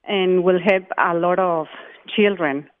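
A woman's voice: on the low side, slow pace, clear, resonant tone. A woman from Mexico speaks with a Mexican Spanish accent over a tinny phone line. She talks carefully, stopping and restarting as she explains things. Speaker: charity group chair. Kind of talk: phone interview.

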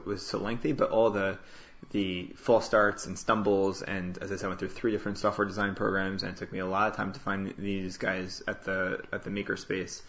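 A man from Queens explains himself casually as a founder being interviewed. His voice is fairly low, bright and full of fry. He often stops and restarts his explanations. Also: lo-fi sound. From Queens, New York, United States. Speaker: interview guest, startup founder and CEO. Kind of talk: casual conversational interview answers.

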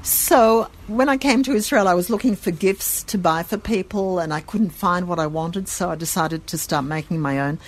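A woman's voice: on the low side, moderate pace, few pauses, poor audio. Chatty woman shop owner. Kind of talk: casual interview. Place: her gift shop.

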